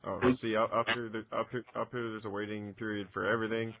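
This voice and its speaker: Gravelly Canadian man, low and close. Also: level tone, fast, dry recording. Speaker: radio interviewer. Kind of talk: casual, profane interview chat. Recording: dull, lo-fi close recording.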